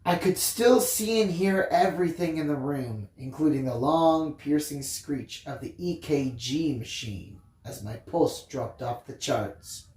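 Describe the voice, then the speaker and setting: A storyteller reading a first-person tale expressively, with theatrical emphasis and drawn-out phrases. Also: medium pitch, lively intonation, a little mellow, echoey room. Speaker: audiobook reader, story time host.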